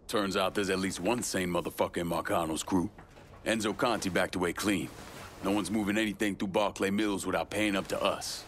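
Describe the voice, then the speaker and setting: Low-voiced, commanding young crime boss. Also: male voice, steady tone, brisk, a bit bright. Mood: cold, commanding. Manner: firm, profane.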